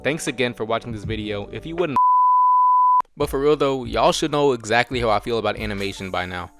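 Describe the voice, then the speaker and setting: A man's voice: medium pitch, fairly quick, over loud music. A young American man giving casual scripted commentary in a slangy, jokey way. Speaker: video essay host.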